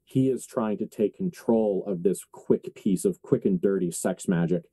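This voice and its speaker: A man acting as game master, narrating a tabletop horror roleplaying game in deliberate, halting phrases. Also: low voice, mellow, breathy edge, no echo.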